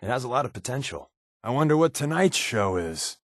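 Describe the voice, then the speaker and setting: A sardonic wasteland spy in a video game, close and dry in sound. He jokes in a deadpan way, yet his mid-range pitch moves around a lot. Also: fairly quick, no room sound. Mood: musing. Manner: dry, deadpan, joking delivery. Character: wisecracking sardonic male spy companion in a wasteland. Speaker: voice actor. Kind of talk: video game companion dialogue.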